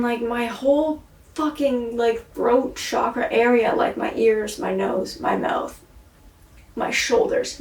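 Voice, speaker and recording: A tired-sounding woman with a fairly high voice talks through a candid video diary. She is introspective and blunt, with frequent swearing and weary sighs, and her throat sounds sore. She sits well back from the mic in an echoey room. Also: a little mellow, recorded from a distance. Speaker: vlogger and singer. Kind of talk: rambling video diary monologue.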